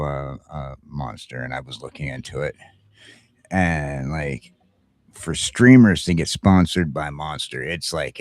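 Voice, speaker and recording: A man's voice: extremely low pitch, dry recording, studio quality. A man talking casually as a talk show host, rambling and stammering, often repeating himself for emphasis. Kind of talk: casual morning show chat.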